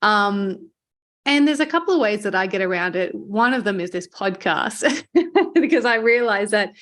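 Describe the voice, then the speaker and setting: Encouraging woman in relaxed podcast conversation. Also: mid-range voice, moderate pace. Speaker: podcast host, marketing agency owner. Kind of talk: conversational interview chat.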